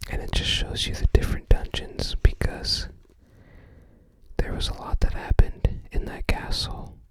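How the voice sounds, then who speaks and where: An ASMR creator speaks entirely in a soft whisper. The pace is very slow, with thoughts arriving in short, tentative fragments. The delivery is casual and rambling, like informal browsing commentary. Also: poor audio.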